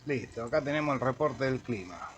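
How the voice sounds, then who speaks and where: An Argentine man, a radio amateur slowly talking through an audio editor tutorial while clicking through menus. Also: medium pitch, animated, breathy edge. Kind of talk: screen-recorded software tutorial. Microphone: computer microphone.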